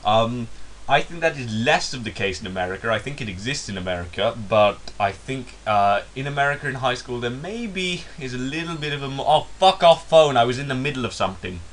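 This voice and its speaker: A man's voice: mid-range voice, expressive, a little fast, a bit bright. A young English man talking in a put-on Indian English accent, rambling and self-mocking in a casual vlog monologue. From England. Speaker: YouTuber.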